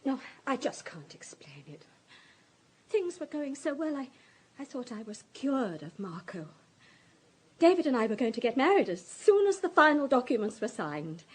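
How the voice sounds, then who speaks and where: An actress playing a fragile, distraught countess of thirty-nine in a radio drama, halting, emotional and apologetic. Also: female voice, high voice, sing-song, slightly rough, exaggerated voice. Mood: shaken, apologetic. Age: 30s.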